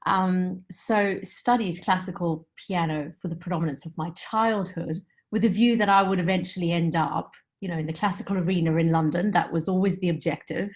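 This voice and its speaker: A woman's voice: fairly low pitch, fairly even intonation, normal speed, darkish tone. Middle-aged woman musician, grew up in zimbabwe, chatting on a call, thoughtful storyteller, lots of "you know". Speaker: guest musician. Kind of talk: conversational interview.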